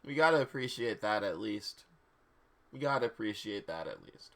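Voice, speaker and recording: A man's voice: fairly low pitch, lively intonation, moderate pace, gravelly, fairly bright tone. A man streaming a live dramatic reading of fan fiction, with mock seriousness, stumbles over words and amused asides.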